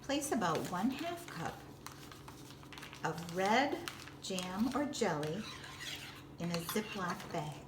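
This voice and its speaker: A woman giving step-by-step instructional narration in a deliberate way, with simple explanatory phrasing. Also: on the low side, big pitch swings. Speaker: librarian giving a baking demonstration. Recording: distant roomy echo with background noise.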